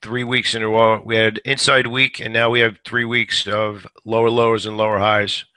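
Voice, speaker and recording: A man hosting a stock trading show, giving live market commentary in a conversational way, a little hoarse today and repeating words as he thinks. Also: on the low side, flat delivery, moderate pace, sharp tone, no room sound. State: slightly hoarse.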